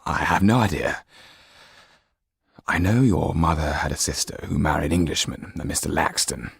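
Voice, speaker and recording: A man's voice: moderate pace, gravelly, breathy edge, dry recording, studio quality, heavily acted. A man voicing a kindly, weary old French lawyer, grave and hesitant, in an audiobook. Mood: flustered. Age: older. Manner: hesitant, grave, low-voiced.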